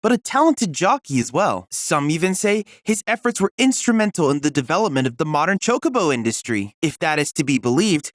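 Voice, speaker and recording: An actor playing a polite, studious boyish android research assistant in a video game, speaking in a formal, precise, inquisitive manner. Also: male voice, a little high, sing-song, brisk, few pauses, fairly bright tone, no echo, clean studio sound. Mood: eager, scholarly. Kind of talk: scripted video game character dialogue.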